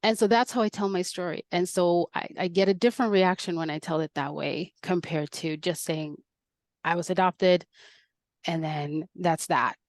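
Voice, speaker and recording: Low-voiced woman from Colombia, earnest. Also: moderate pace, dry recording. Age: 40s. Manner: earnest, storytelling. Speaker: guest, adoptee. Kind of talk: reflective interview talk.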